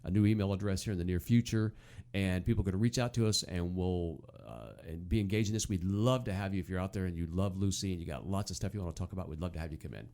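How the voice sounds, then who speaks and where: An American man hosting a casual chat show, talking in a halting, conversational way and often repeating words. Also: deep voice, darkish tone. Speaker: podcast host. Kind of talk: casual chat about old-time radio.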